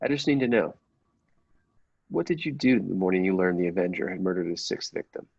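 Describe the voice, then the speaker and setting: A man playing an evasive, muddled police inspector who haltingly repeats himself in improvised radio comedy. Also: low voice, expressive, vocal fry, darkish tone, slightly rough, dry recording, heavily acted. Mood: defensive, muddled. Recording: dull, lo-fi close recording.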